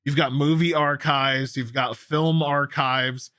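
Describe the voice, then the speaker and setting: A man's voice: mid-range voice, steady tone, slow pace, sharp tone, dry recording, clean studio sound. A man hosting a gaming news show, giving casual commentary in a talkative, explanatory, informal way.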